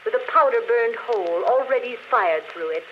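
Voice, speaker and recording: An actress playing a dead woman who confesses a murder on a phonograph record, speaking slowly in 1940s radio style. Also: a little high, natural voice. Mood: coolly confessing. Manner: calm, deliberate confession, later frightened. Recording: dull old radio broadcast with faint hiss.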